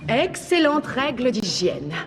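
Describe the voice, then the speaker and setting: A woman's voice: on the high side, big pitch swings, takes it slowly, exaggerated voice. A woman voicing a zany, fearless schoolteacher in a French cartoon dub, speaking theatrically and enthusiastically. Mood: enthusiastic, instructive. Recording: music playing behind the voice.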